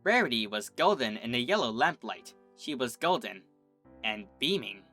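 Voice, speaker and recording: A man's voice: medium pitch, very animated, moderate pace, sharp tone, faint music behind. A man reading a fanfiction story aloud as the narrator and channel host.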